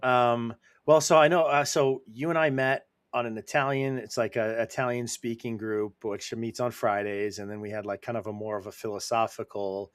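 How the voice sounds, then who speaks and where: A man's voice: medium pitch, dry recording, studio quality. A man hosting a podcast, speaking in a casual, conversational and polite way as he introduces and interviews a guest. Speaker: podcast host.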